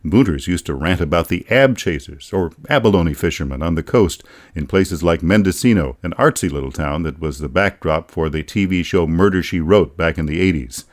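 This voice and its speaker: A man's voice: deep voice, animated, moderate pace, hardly any pauses. An American man narrating a scripted radio documentary in a wry, folksy storytelling manner. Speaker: radio feature narrator and author.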